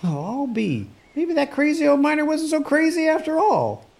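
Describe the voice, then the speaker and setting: An actor playing a kindly, folksy rancher in a radio play, with an easygoing way of talking. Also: male voice, very high voice, big pitch swings, moderate pace, creaky, full character voice. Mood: curious, amazed.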